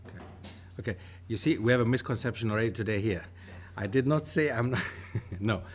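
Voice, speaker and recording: A man in his forties from Germany gives a university lecture and takes questions from the audience. He talks in a lively way, gestures with both hands, and slips into stories as he speaks into a table mic in a lecture room. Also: lowish voice, brisk, a little hiss or hum. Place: lecture room with projection screen. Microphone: table mic on a stand.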